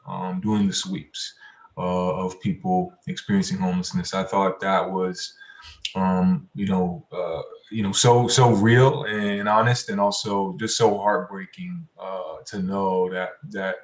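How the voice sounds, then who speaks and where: A man answering as a panelist on a video call, speaking off the cuff and haltingly, searching for words and repeating himself. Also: deep voice, flat delivery. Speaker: community organizer, panelist. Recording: echoey room on video call.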